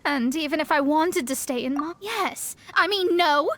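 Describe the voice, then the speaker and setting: A woman's voice: sits high, normal speed, fairly bright tone. A woman playing a proud young astrologer in a video game, theatrical and easily flustered. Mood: flustered, dejected.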